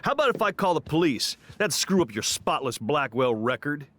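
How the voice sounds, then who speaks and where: A man's voice: a little high, sing-song, normal speed, a bit bright. An actor playing a stern, suspicious middle-aged ex-soldier stepfather in a video game, accusatory and authoritarian. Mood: threatening.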